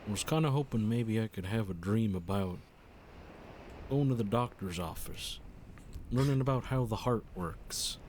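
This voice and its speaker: A man playing an earnest, awkward adolescent boy hero, delivered deadpan in an improvised comedic fandub. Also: fairly low pitch, moderate pace, a little hiss or hum, close to an everyday voice.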